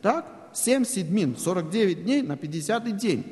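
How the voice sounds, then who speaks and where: A man's voice: sits high, animated, moderate pace, darkish tone. A man preaching a sermon in Russian, which is not his first language. He explains at length, poses rhetorical questions, and uses many fillers. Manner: speaks Russian as a second language. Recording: echoey hall.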